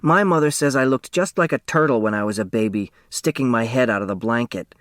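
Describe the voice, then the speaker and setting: A man's voice: medium pitch, a little fast, no room sound, clean studio sound, natural voice. A man voicing a blunt, stubborn, self-conscious young girl in an audiobook. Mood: blunt, curious. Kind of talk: audiobook character dialogue.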